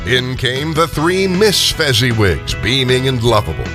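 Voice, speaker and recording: An older man narrating a scripted story dramatically, with expressive, deliberate phrasing. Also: mid-range voice, over music.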